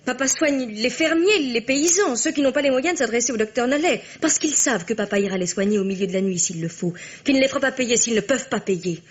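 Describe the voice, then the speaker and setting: A young woman playing an earnest, well-bred, spirited granddaughter in a radio drama, polite and at times hesitant, with a slightly breathy, husky voice. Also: a little high, animated, quick pace, hardly any pauses. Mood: indignant. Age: young adult. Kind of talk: French radio drama acting.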